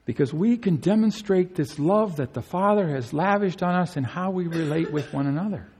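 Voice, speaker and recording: A male pastor preaching an earnest sermon, his voice climbing high to stress key phrases. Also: animated, moderate pace, a little mellow, breathy edge. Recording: long hall reverb.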